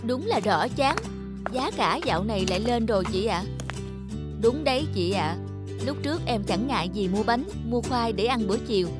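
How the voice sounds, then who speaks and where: A woman's voice: on the high side, animated, moderate pace, over music, natural voice. A woman voicing a chatty market woman in a cartoon, complaining in gossipy small talk. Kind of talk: cartoon voice acting.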